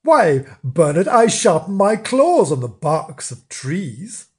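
A woman's voice: fairly low pitch, very animated, slow. A woman voicing a fat, confident female cat in a storybook, bossy and incredulous. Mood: confident, instructing.

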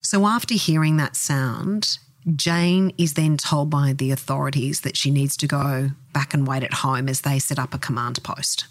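Podcast host, mid-pitched, scripted delivery, dry studio-like sound. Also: fairly even intonation, moderate pace, no echo.

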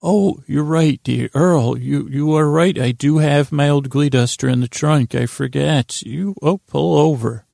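A man voicing the Tooth Fairy as a gently insistent, bossy wife in an improvised podcast skit. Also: a little high, unhurried, gravelly, hardly any pauses, dry recording, studio quality. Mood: flustered.

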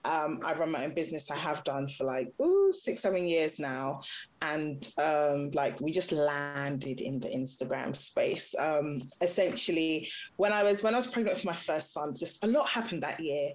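A woman's voice: low-pitched, moderate pace, low-quality recording. A woman in her thirties, a consultant and content creator, talking casually about her life with frequent laughs and restarts. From Nigeria (Yoruba background), raised in Britain. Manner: chatty, laughs often, many restarts. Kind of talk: casual personal interview.

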